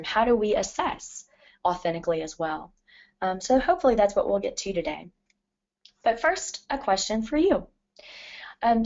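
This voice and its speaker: Thirties woman presenter, scratchy and earnest, filler-heavy delivery, slightly low voice, dull lo-fi sound. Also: darkish tone. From Louisiana. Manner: frequent ums, careful and earnest. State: scratchy voice from allergies. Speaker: literacy professor and adult educator. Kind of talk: presentation to educators. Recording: dull lo-fi recording.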